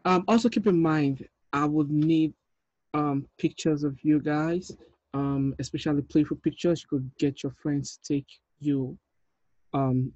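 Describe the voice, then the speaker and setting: A man in his thirties leading an informal meeting as a film director, giving halting instructions over a video call. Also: a little high, a little mellow, no room sound. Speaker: short film director leading a table read. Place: indoor room, on a video call. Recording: dull, muffled video call audio.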